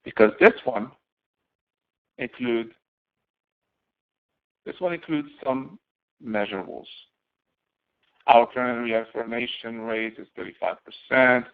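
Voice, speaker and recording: A man giving an instructional walkthrough as a course instructor, explaining step by step and posing questions that he then answers, in a gravelly voice. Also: medium pitch, slow, long pauses. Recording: narrow, phone-like audio.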